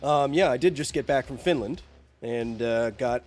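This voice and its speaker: A man's voice: medium pitch, normal speed, darkish tone, faint music behind. Sniffly, rambling male game streamer. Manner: rambling, jokey, talks to chat. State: congested, recovering from bronchitis. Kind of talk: live game stream chat.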